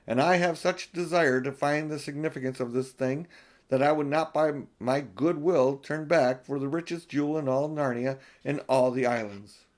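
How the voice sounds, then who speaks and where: A man's voice: a little high, normal speed, natural voice. A man voicing a noble, solemn storybook king with formal, archaic, courtly speech. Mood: resolute.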